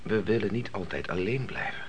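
Man acting low-voiced earnest grown son, noisy recording. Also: lively intonation, lightly acted. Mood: hesitant, uneasy. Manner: hesitant, halting, argumentative. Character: grown son, earnest, questioning, cautiously challenging his devout mother. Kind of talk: radio play dialogue. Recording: noisy old radio play recording.

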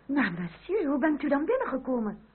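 A woman's voice: sits high, wildly swooping pitch, fairly quick. An actress playing a haughty, middle-aged French royalist noblewoman in a Dutch radio drama, speaking in a formal, imperious, genteel manner. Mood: formal, surprised. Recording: tinny, band-limited, hissy old radio recording.

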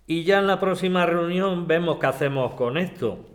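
A man's voice: sits high, normal speed, lightly acted. A man from playing a grumpy, prejudiced older neighbour who grumbles colloquially in a radio drama. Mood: grudging. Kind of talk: acted community radio drama.

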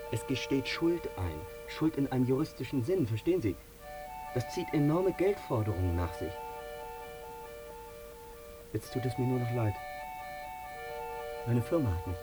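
Radio drama part: man playing an anguished male air traffic controller, mid-range voice, halting and pleading, music underneath. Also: mid-range voice, lightly acted. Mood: anguished remorse.

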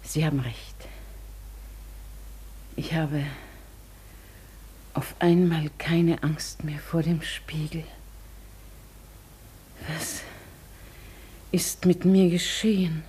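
An elderly lady of faded gentility in a radio drama. Her low, husky voice moves slowly through polite, hesitant phrases with a wistful undertone. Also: steady tone, mellow, exaggerated voice. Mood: awed, urgent. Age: older. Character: wistful elderly lady, genteel, poor, newly emboldened. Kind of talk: German radio play acting.

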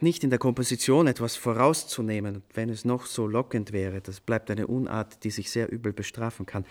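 An actor playing a playful, impulsive composer who tells stories in an animated, ornate, old-fashioned way for a German radio play. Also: male voice, mid-range voice, lightly acted. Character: playful, impulsive male composer recounting his nighttime inspiration.

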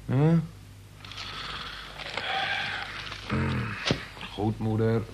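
A man's voice: on the low side, unhurried, muffled recording, over loud music. An actor playing a polite, deferential accused clerk in his thirties in a radio play. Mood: submissive. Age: 30s. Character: clerk of thirty-one, accused, submissive son of domineering mother. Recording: faintly noisy radio play recording.

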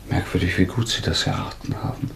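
A haunted, lonely fugitive with a guilty secret in a radio drama. His low voice is erratic and needling, moving between halting muttering and agitation, over faint noise. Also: steady tone, creaky, fairly bright tone, heavily acted. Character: nervous, haunted young fugitive murderer, taunting and lonely. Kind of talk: German radio play acting.

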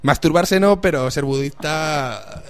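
A man's voice: a little high, expressive, slow pace, gravelly, clear, resonant tone. A man hosting a comic radio interview, teasing his guest with puns. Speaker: radio host.

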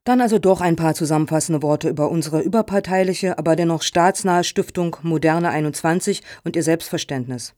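A woman playing a composed, courteous head of a foundation in a satirical radio play, speaking in a formal, measured, officious way. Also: low voice, mellow, no echo, clean studio sound, lightly acted. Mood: earnest, official.

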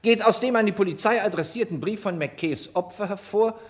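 Ein Schauspieler spielt in einem Gerichtshörspiel einen förmlichen, pompösen Staatsanwalt, der pointiert und bohrend spricht. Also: male voice, high voice, brisk, lightly acted. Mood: formal, insistent. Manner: formal, theatrical, pointed. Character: pompous, insistent male public prosecutor in court. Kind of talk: German radio courtroom drama acting.

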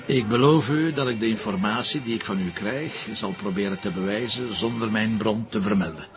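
A man's voice: fairly low pitch, brisk, over music, close to an everyday voice. A man playing a dry, probing police commissioner in a TV crime drama, teasing and informal, with a Flemish accent.

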